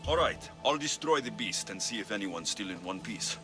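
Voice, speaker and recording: A man's voice: lowish voice, lively intonation, normal speed, lightly acted. A man playing a principled young mercenary in a video game, speaking directly and firmly. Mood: businesslike. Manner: direct, firm. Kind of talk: dramatic game cutscene dialogue. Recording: music bed behind voice.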